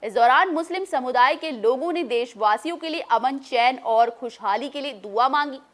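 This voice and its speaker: A woman with a fairly high voice works as a news anchor. She reads scripted reports in a formal bulletin style, as narration for a news broadcast. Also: normal speed.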